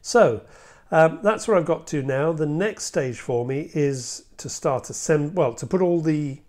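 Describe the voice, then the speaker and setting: A man's voice: a little high, a little slow, a little mellow. A man in his sixties giving a casual, hands-on hobby walkthrough, explaining step by step with some hesitation. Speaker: hobby video presenter. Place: indoor hobby room at a workbench.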